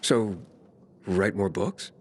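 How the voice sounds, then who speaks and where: Plain-spoken survivor, very deep voice, low-key candid delivery, game cutscene. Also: male voice, slow, a little mellow. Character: young male survivor, plain-spoken and honest.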